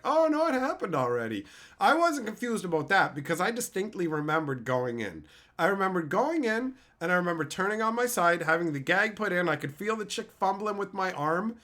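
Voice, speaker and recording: A man with a loud voice chatting casually on a live stream, swearing and laughing often. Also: high-pitched, animated, brisk. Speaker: streamer host.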